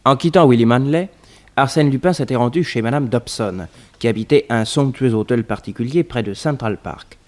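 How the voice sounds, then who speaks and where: A man's voice: mid-range voice, normal speed. A man narrating a scripted French radio serial in the 1960s manner.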